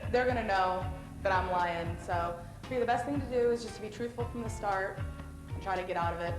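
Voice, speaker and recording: A woman's voice: mid-range voice, fairly quick. A young adult woman being interviewed, chatting casually. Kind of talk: casual chat to camera. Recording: echoey room with music behind.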